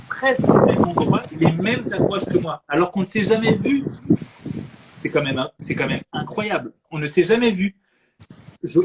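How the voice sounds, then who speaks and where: An animated man hosting a live chat, laughing often and repeating himself as he talks. His voice sits slightly high, and a steady hiss of noise runs under the live-stream audio. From French Caribbean. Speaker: host.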